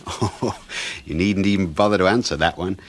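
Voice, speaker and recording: A British actor playing a friendly, chatty suburban neighbour in a 1970s radio play, polite and slightly hesitant. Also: male voice, sits low, moderate pace, close to an everyday voice. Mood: chatty, keen.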